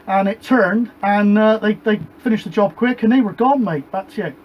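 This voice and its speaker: Chatty high-voiced British man. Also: normal speed, dull audio, slight background noise. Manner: rambling and chatty, ends each turn with "back to you". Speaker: CB radio operator and show host. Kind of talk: casual radio chat on a CB net. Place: home shed radio room with a gas fire.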